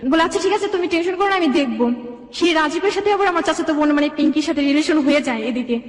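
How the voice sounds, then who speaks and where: A woman's voice: sits high, rapid-fire. A young woman telling her life story conversationally in long run-on detail, quoting dialogue, in a reverberant recording. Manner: run-on, detailed retelling with reported speech. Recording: echoey, reverberant sound.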